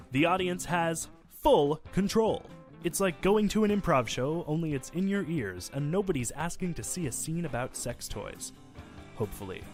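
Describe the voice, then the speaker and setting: A man reading a scripted promo for his comedy podcast, playful, with deadpan jokes. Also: fairly high pitch.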